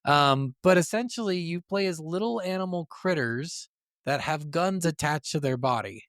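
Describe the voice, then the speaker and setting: A man's voice: a little high, animated, moderate pace, fairly bright tone, clear, resonant tone, dry recording, studio quality. A man chatting casually as a podcast co-host about games, swearing freely as he goes. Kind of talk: casual gaming podcast chat.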